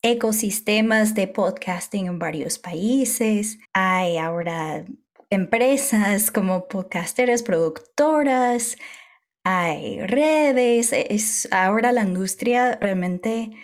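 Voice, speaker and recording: A woman's voice: mid-range voice, takes it slowly. A young woman guest whose first language is English, speaking reflectively with light laughs in an interview. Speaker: podcast guest. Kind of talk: interview conversation.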